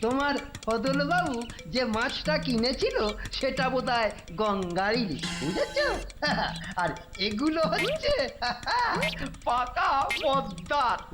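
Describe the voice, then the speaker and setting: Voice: sits high, very animated, takes it slowly, heavily acted. An actor voicing a vain, irritable male royal minister in a cartoon, blustering and grumbling. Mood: smug, boastful. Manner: blustering, grumbling, tag questions, chuckles. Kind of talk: animated cartoon character acting. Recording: music behind the voice.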